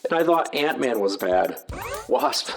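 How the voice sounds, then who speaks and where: An actor playing a cocky, sarcastic armored superhero with a dismissive manner in an audio drama. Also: male voice, mid-range voice, lively intonation, a little slow, creaky, over music. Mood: sarcastic, exasperated. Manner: snarky, dismissive.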